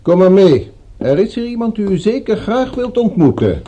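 An actor playing a coolly polite, menacing villain in a radio drama, curt and commanding with a husky voice. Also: male voice, sits high, sing-song, moderate pace, dark tone, lo-fi sound. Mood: curt politeness.

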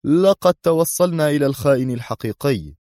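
A man's voice: mid-range voice, fast talker, darkish tone, no room sound, studio quality, pitched above the performer's own voice, lightly acted. A man voicing a stern defence minister in an audiobook, clipped and commanding. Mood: firm. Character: stern, sharp-tongued defence minister accusing a traitor.

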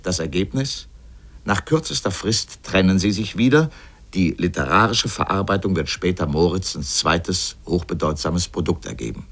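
A man playing an erudite, sardonic narrator of a scripted literary radio essay, speaking deliberately and pointedly. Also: sits low, creaky, fairly bright tone, heavily acted.

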